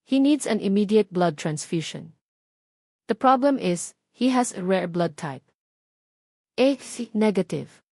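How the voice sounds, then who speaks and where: A woman's voice: medium pitch, expressive, takes it slowly, creaky, long pauses, dark tone, dry recording, clean studio sound, exaggerated voice. A woman narrator playing a serious, concerned hospital doctor in a story, formal and pressing. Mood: urgent.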